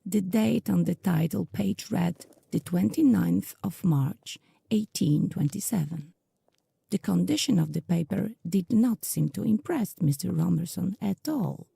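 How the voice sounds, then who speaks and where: A woman narrating an audio drama as a storyteller, with a soft, very husky and creaky voice. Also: on the low side, no echo.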